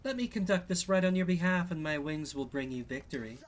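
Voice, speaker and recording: A man's voice: a little high, lively intonation, brisk, natural voice. A man voicing a sly, flirtatious winged harpy woman from a game, with a teasing manner. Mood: coy. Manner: teasing, saying darling.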